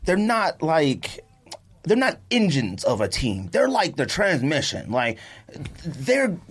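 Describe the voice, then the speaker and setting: An American man hosting a podcast, talking sports casually in an animated, emphatic, exasperated rant with a husky voice. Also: on the high side. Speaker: sports and sneaker podcast host.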